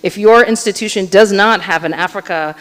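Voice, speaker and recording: A woman giving an earnest conference talk on policy, often pausing on fillers. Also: mid-range voice. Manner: earnest, hedging with frequent fillers. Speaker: conference keynote speaker. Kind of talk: conference panel answer. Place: conference hall. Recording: long hall reverb.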